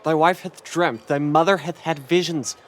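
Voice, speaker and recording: A man's voice: a little high, steady tone, normal speed, close to an everyday voice. A man playing a worried, pleading old king and father in a Shakespearean audio drama.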